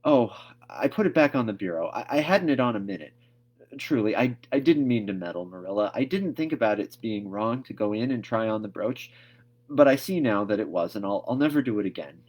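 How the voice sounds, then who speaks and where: A man's voice: on the low side, expressive, a little fast, a little mellow. A man voicing an earnest, imaginative young girl in a storybook, with a wordy, dramatic manner. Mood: hesitant, contrite. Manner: effusive, wordy, hesitant when questioned.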